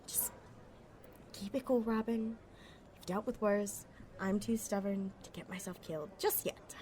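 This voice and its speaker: A woman's voice: mid-range voice, a little slow, warm tone, roomy sound, in a large hall, lightly acted. A woman playing a cocky, sarcastic young pickpocket outlaw in a sci-fi audio roleplay, muttering wryly to herself. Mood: steadying herself. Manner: wry, sardonic, slangy, talking to herself.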